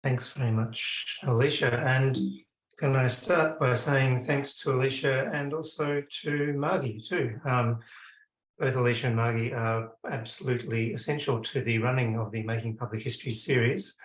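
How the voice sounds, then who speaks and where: A man, hosting an event and giving polite closing thanks, halting with many ums. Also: medium pitch, steady tone, reverberant, poor audio. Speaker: event host.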